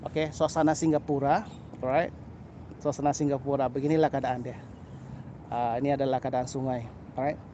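A middle-aged man from Sabah with a Sabahan Malay accent, chatting casually to camera in a halting, repetitive way with little filler tags. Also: medium pitch, fairly even intonation, warm tone. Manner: halting, repeats phrases, frequent "ah" and "lah" tags. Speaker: birding vlogger. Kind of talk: casual vlog chat to camera. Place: outdoors on a grassy path by a canal. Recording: outdoor background noise.

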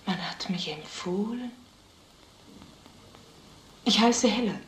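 A woman's voice: medium pitch, animated, slow pace. An actress playing a guarded single mother from a village, hesitant and wary, in a crime drama. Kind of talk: mid-century German crime film dialogue. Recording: noisy, echoing old film soundtrack.

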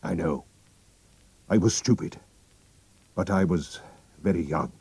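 A man's voice: low-pitched, slow, darkish tone, breathy edge, no room sound, exaggerated voice. A man reading a storybook aloud and voicing a proud, anxious king with a formal, stiff and urgent manner. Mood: regretful. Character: Bohemian king, tall, proud, anxious, middle-aged man.

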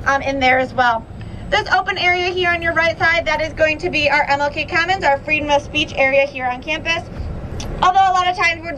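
A young woman guiding a campus bus tour, upbeat and informative, with frequent "um" fillers. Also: sits high. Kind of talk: guided tour commentary. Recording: noisy bus interior. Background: bus engine noise.

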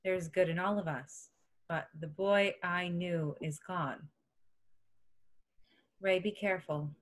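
A woman's voice: on the low side, fairly even intonation, slow pace. A woman reading the part of a wise, motherly older resistance general in a table read over a video call. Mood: subdued, sorrowful. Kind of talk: table read of a film script.

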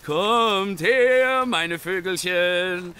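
High-voiced, nervous young thief. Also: male voice, extremely slow, sharp tone, a little hiss or hum, heavily acted. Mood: coaxing. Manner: anxious, stammering, breathless pleading. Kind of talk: German radio play acting.

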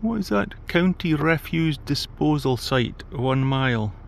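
A man's voice: a little high, expressive, a little slow, vocal fry. A man chatting casually on a road trip in a dry, understated way, as a travel vlogger. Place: in or beside a car on a desert road. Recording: loud car and road noise.

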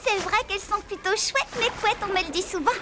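A woman's voice: very high-pitched, fast. A woman voicing a sweet, vain, gushing little schoolgirl in a French cartoon. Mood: flattered.

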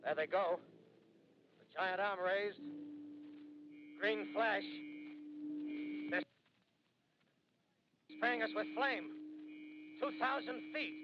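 A terse bomber pilot radioing in short clipped phrases, his voice set a little high. He sounds like an old 1930s radio play, dull and hissy with steady noise behind. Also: drawn-out words, long pauses. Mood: panicked. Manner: terse clipped military reporting, rising to panic. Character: male bomber pilot radioing during attack. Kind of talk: 1930s radio drama acting.

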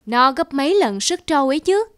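A woman's voice: high voice, big pitch swings, brisk, dry recording, studio quality. A woman playing a boastful, persuasive rich village man in a cartoon folk tale.

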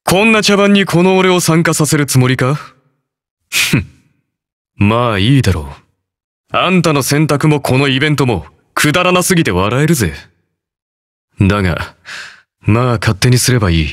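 A man's voice: mid-range voice, lively intonation, normal speed, long pauses, close to an everyday voice. A man playing a scornful young antihero in a game, with a dismissive, sneering manner. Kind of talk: game character voice lines.

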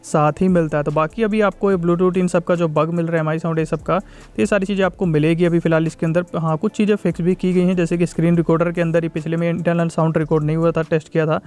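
Male tech reviewer, slightly high smooth voice, casual running commentary, English terms mixed in. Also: flat delivery, fast talker, darkish tone. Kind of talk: casual phone software review voice-over.

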